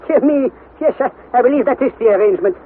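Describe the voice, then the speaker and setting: An actor playing a pompous, excitable older professor and uncle in a theatrical, declamatory 1960s radio drama style. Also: male voice, very high-pitched, a little fast. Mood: surprised, amused. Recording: noisy, narrow-band vintage radio recording.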